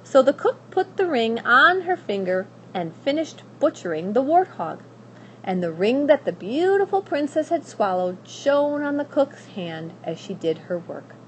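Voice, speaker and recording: A woman narrating a children's story aloud in a dramatic, expressive and deliberate way. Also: fairly high pitch, low-quality recording. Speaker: school staff member reading aloud. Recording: faint background noise.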